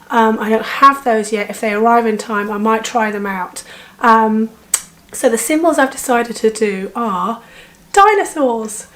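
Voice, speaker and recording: A woman talks through her project in a relaxed home video diary, her voice hoarse and partly gone. She sits a little high in pitch and hesitates often with ums as she thinks aloud. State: hoarse, lost voice. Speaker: home bike painter vlogging.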